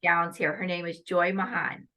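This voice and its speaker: Middle-aged woman from iowa talking up her work in an interview, bright bold voice, poised and well spoken. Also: fairly low pitch, flat delivery, moderate pace. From Northwest Iowa, USA. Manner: poised and articulate, listing her work with enthusiasm. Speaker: guest, lawyer and pageant titleholder. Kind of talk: interview answers.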